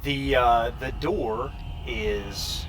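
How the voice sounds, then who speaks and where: A man's voice: mid-range voice, drawn-out words, fairly bright tone, slight background noise. A man acting as game master, narrating scenes to the players of a tabletop role-playing game.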